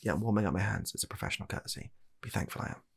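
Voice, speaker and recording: A British man with a gravelly, husky voice performing a comedic medical roleplay in ASMR style as a doctor, speaking in an exasperated, hesitant, awkwardly deadpan way. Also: on the low side, very fast, slight background noise.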